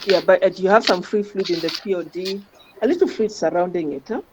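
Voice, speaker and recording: A woman's voice: medium pitch, brisk, background noise. A woman giving informal teaching commentary on scan images as a radiology teacher, often adding questioning tags like "huh?" to her statements. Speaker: radiology teacher guiding a trainee. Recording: dull lo-fi audio.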